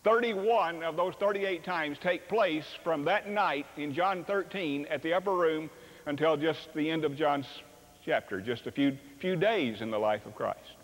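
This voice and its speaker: An older man preaching a sermon on a church stage, animated and acting out dialogue. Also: fairly high pitch, measured pace. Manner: animated, acts out dialogue with sweeping gestures. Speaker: pastor. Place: large church sanctuary stage. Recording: long reverb of a large hall.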